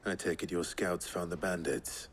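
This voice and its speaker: An actor playing a reserved, dutiful warrior hero in a video game, speaking tersely and earnestly. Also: male voice, low voice, fairly even intonation, moderate pace, a little hiss or hum, natural voice. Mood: resolute. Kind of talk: video game cutscene acting.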